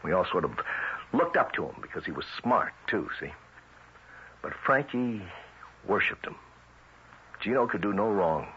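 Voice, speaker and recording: An actor playing a streetwise, grimly vengeful federal agent in 1970s radio drama, with tough, clipped, colloquial delivery. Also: male voice, fairly low pitch, very animated, moderate pace, pauses often, sharp tone. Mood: bitter, brooding. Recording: muffled vintage radio recording, faint hiss.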